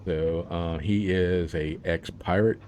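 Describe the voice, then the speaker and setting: A man's voice: low voice, fairly even intonation, slow, darkish tone, a little hiss or hum. A man, an author, talking about his book in a casual interview, rambling with plenty of fillers and laughs.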